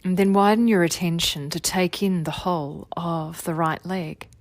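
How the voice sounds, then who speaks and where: A woman leading a guided meditation as a teacher, giving gentle, soothing body-scan instructions in an unhurried way. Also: lowish voice, fairly even intonation, no echo. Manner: soothing, unhurried, gentle instruction with long phrases trailing softly.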